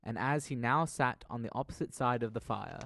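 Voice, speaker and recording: Male narrator for literary audio drama, mid-range pitch, scripted storytelling read, dry acoustic with no room. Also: moderate pace, gravelly, a bit bright, dry recording.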